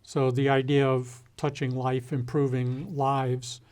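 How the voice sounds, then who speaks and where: Interview guest: older American man, mid-range voice, thoughtful and measured, pausing often as he reflects. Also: medium pitch, flat delivery, a little mellow. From United States. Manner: measured, halting delivery with deliberate pauses. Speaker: interview guest, retired executive and former naval officer.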